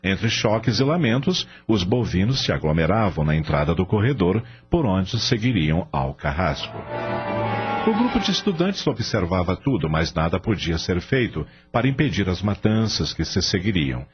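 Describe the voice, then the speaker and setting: A man's voice: low voice, big pitch swings, normal speed. A man narrating a radio drama from a script in a dramatic storytelling style. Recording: dull sound under clear music bed.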